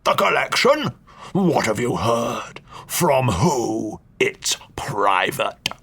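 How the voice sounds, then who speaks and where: A man voicing a cantankerous elderly male duke who blusters and scolds in slow, gruff bursts. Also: medium pitch, animated, bright, forceful tone, heavily acted. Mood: suspicious. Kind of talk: audiobook character voice.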